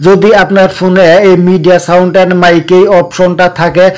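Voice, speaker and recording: A man's voice: sits high, level tone, a little fast, clear, resonant tone. A man hosting a tech tutorial, explaining things casually and repeating key points. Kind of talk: casual phone tutorial narration.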